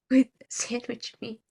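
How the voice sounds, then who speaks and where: A woman's voice: a little high, gravelly, darkish tone, husky. A woman in her twenties, from Thailand, co-hosting a podcast with dry, teasing remarks. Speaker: podcast co-host. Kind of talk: casual chat.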